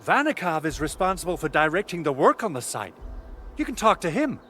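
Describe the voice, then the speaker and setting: Animated, higher-voiced male industrial planner. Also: brisk, slight background noise. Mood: confident, explanatory. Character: confident male industrial planner briefing his team. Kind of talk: scripted game dialogue, explanatory. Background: game music.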